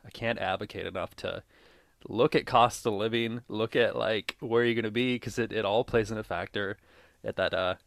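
A man's voice: lowish voice, steady tone, moderate pace, creaky, fairly bright tone, dry recording, studio quality. A young man co-hosting a podcast, chatting casually and drifting into tangents with frequent laughs. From Minnesota. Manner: rambling, tangent-prone, laughs often. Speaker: podcast co-host. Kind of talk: casual chat.

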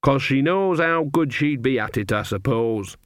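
A gossipy old witch, as a man puts her on for a storybook, drawling her words slowly. The voice is mid-pitched and close, with a dry sound. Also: expressive, fairly bright tone, dry recording. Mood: drawling gossip. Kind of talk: audiobook character voice.

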